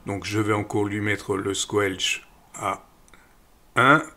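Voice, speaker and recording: A middle-aged man calmly walking viewers through an app step by step, with frequent fillers. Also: on the low side, takes it slowly. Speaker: radio equipment channel host. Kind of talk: screen-recorded app tutorial voice-over.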